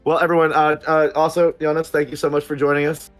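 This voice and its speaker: A man with a slightly higher voice hosts a casual livestream and chats loosely with viewers. His talk rambles and is packed with ums and uhs, but it stays upbeat. Faint music plays underneath. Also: level tone, fast talker. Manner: rambling, filler-heavy, upbeat. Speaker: producer and stream host.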